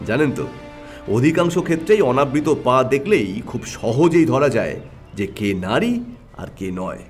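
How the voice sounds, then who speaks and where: A man voicing a shrewd, decisive detective in an audio story, with a stern, assertive manner. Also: mid-range voice. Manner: assertive, explanatory.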